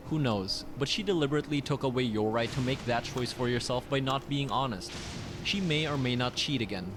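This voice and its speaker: Male narrator, online story reader, mid-range pitch, audibly noisy recording. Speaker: narrator of online relationship stories. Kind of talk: scripted narration, reading posts aloud. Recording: clearly noisy background.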